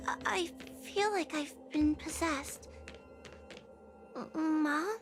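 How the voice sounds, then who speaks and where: A woman's voice: sits high, slow pace. A woman voicing a timid, fragile little girl in a video game, hesitant and plaintive. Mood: fearful, bewildered. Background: music.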